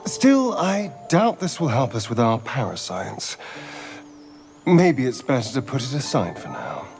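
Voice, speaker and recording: An actor playing an elegant, irritable elf rogue in a fantasy video game, with an arch, theatrical manner. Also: male voice, medium pitch, big pitch swings, measured pace. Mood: musing, wry. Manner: arch, theatrical, clipped asides. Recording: game music behind the voice.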